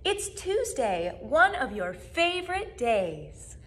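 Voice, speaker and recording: A cheerful, doting storybook mother, put on by a woman reader. She reassures her little boy in a high, sing-song voice. Her pitch rises and falls widely as she stretches out her words slowly. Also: very animated, a bit bright, a little hiss or hum, exaggerated voice.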